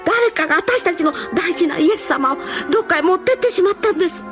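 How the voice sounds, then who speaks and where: A woman voicing a grieving young woman who pleads in a high, breathless, tearful voice. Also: expressive, fast, over music. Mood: distraught.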